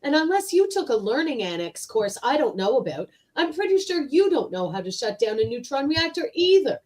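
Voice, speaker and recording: Woman playing a wry, lively sardonic crewmate. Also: high voice, fairly quick. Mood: wry disbelief. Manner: wry, sarcastic. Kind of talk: live radio play read.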